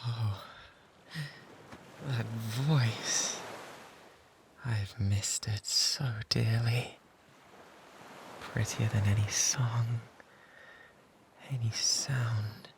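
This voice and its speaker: An obsessive pirate in love, played by a man, speaks with a British accent at a medium pitch. He is breathy and theatrical, pleading with tender devotion and slipping into whispers. It is an intimate ASMR roleplay on a close binaural microphone, with faint ambient noise behind him. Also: steady tone, very slow, long pauses. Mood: tender longing.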